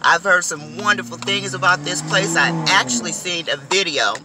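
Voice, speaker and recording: A woman's voice: on the low side, normal speed. A middle-aged woman food vlogger chatting animatedly to viewers while eating in a car, recorded on a dashboard phone camera. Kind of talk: casual eating vlog. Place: inside a car.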